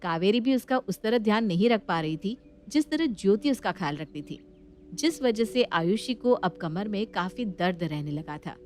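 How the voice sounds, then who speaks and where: A woman's voice: medium pitch, lively intonation, normal speed. Woman reading a family story, narrator style, bit of background noise.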